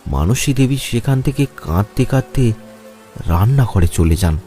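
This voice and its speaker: A man's voice: mid-range voice, expressive, normal speed, warm tone. A man narrating a cartoon story in a scripted, storytelling style. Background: music.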